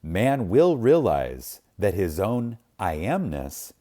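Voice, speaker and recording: A man with a dark, relaxed voice gives a reverent reading of a spiritual text. His intonation swings widely for dramatic emphasis, and he moves slowly and solemnly, leaving deliberate pauses between phrases. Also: on the low side. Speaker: audiobook reader.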